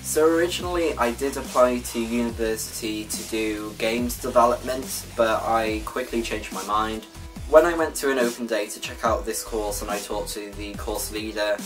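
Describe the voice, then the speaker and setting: A nineteen-year-old Welsh man chatting casually to his viewers, rambling and correcting himself with lots of fillers. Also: fairly low pitch. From Wales. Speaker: gaming YouTuber. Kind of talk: casual vlog talk to viewers.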